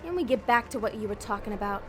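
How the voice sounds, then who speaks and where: A woman's voice: mid-range voice, animated, quick pace, fairly bright tone, a little hiss or hum, natural voice. A woman playing a dry, terse, streetwise worker in a comedy audio drama. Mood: dry, impatient. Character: wry, streetwise damned female worker, no-nonsense.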